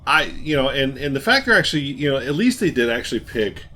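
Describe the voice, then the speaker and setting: A man explaining old gear casually as a show host, in a halting, rambling, enthusiastic way. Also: medium pitch, sing-song, pushed and bright. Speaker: host of a hobby radio show. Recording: light background noise.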